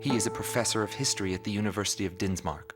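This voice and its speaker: Male actor as documentary narrator, low voice, sober, formal, measured, loud guitar music. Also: close to an everyday voice. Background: plucked guitar music.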